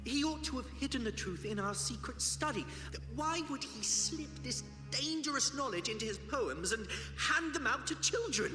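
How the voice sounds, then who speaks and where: A woman's voice: high-pitched, lively intonation, normal speed. An actress playing a panicked, bitter woman poet in a video game, agitated and accusing. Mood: panicked anger. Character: panicked, bitter female member of poets' society. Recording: echoey hall reverb, music behind.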